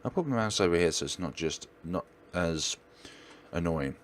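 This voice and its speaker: Low-pitched, animated man narrating. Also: moderate pace, slight background noise. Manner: theatrical, stumbles and rereads words. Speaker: let's play host. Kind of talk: dramatic read-aloud narration of a visual novel.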